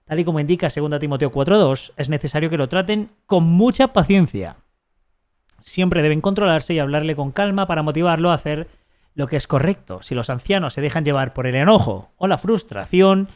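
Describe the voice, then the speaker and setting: Sarcastic Spanish man, higher voice. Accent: Spain. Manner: sarcastic, mocking asides; halting, frequent restarts while reading. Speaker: streamer. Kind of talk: live-stream commentary, reading a text aloud.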